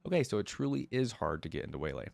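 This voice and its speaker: A man's voice: on the low side, big pitch swings, racing, gravelly, darkish tone, no room sound. A man hosting a reaction video, commenting casually in an informal, slangy, enthusiastic fan style. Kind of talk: casual reaction commentary and story read-aloud.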